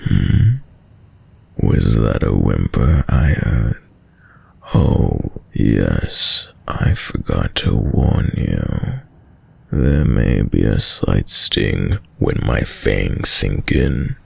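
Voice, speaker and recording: A man playing a sadistic, dominant vampire in an intimate audio roleplay, speaking in a commanding, silkily menacing and condescendingly tender way, deep and breathy. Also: expressive, darkish tone, no echo, full character voice. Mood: savoring, gloating. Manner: slow, commanding, condescendingly tender, often dropping to whispers. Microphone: close, dry studio mic.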